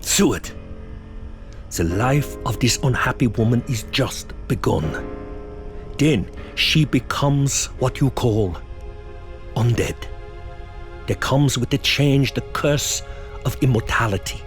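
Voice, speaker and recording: An actor playing a learned older professor and vampire hunter in a gothic audio drama, grave, probing and deliberate. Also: male voice, mid-range voice, natural voice. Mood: solemn. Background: music.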